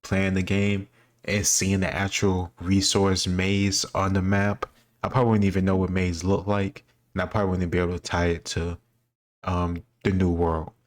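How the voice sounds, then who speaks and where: Man, deep voice, informal quiz host, casual reaction commentary, thinking aloud. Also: flat delivery, moderate pace. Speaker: host answering history quiz questions.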